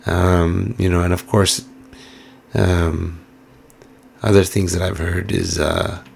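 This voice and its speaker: A hoarse man giving casual, musing let's-play commentary with plenty of fillers while he plays. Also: sits low, flat delivery, slow pace, a little hiss or hum. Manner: musing, chatty, many fillers. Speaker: game streamer.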